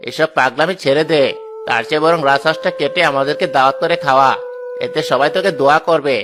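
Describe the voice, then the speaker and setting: Man playing a high-voiced scornful village bully. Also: quick pace, close to an everyday voice. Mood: taunting. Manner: taunting, domineering. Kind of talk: Bengali cartoon story dialogue.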